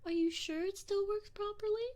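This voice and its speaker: A woman's voice: very high voice, steady tone, darkish tone. A woman playing a sadistic, mock-polite alien enforcer in an ASMR roleplay, taunting sweetly and possessively into a close binaural microphone. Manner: slow, mock-sweet, taunting, often dropping into breathy whispers.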